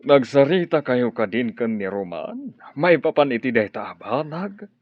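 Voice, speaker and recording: A man's voice: mid-range voice, very animated, moderate pace, no room sound, close to an everyday voice. A man playing a firm, cautious middle-aged father in a radio drama. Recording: dry muffled audio with faint music.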